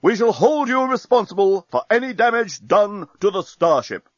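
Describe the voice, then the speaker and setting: Man putting on a booming, menacing fleet commander. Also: very animated, moderate pace, no room sound, muffled recording. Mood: booming menace. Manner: loud, declamatory, imperious.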